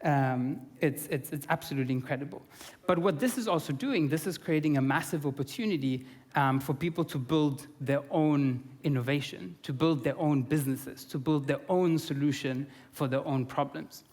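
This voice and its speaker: A man's voice: fairly high pitch, normal speed, a little mellow. A young man from Austria giving an earnest conference talk, with many fillers and restarts. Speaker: conference speaker. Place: conference hall. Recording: long reverb of a conference hall.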